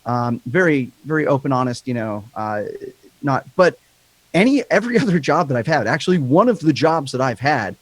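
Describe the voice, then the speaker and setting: Man, slight lisp, high-ish lively voice, candid rambling podcast talk, dry close sound. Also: fairly quick, no echo. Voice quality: higher-pitched voice with a slight lisp. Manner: candid, rambling, swears freely. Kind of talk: casual podcast chat.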